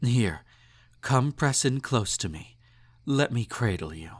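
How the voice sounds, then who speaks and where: A man's voice: mid-range voice, expressive, slow, slight background noise. A man playing a snobby, possessive emperor in an intimate romantic audio roleplay, indulgent and menacing, with a haughty, purring, condescending delivery. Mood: smug, tender, teasing.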